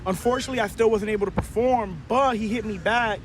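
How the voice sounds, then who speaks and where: A man's voice: high voice, fast, a little hiss or hum. A young man from Orlando, a rapper guest, talking in a laid-back, slangy way. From Orlando, Florida. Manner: laid-back, slangy, says "you feel me" often. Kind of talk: casual chat.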